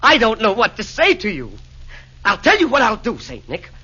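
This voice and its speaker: A meek, repentant Roman emperor in a 1940s radio play. The voice is husky yet tense and bright, speaking humble, theatrical verse. The vintage broadcast sound is dull. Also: male voice, high voice, huge pitch swings, moderate pace, heavily acted. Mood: overwhelmed, grateful. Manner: hesitant, humble, rhyming theatrical delivery.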